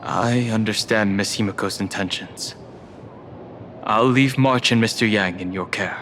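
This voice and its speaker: Low, reserved, loyal guardian. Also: male voice, unhurried, fairly bright tone, slight background noise. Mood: resolute. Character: reserved, loyal, protective male companion and guardian. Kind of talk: video game character dialogue.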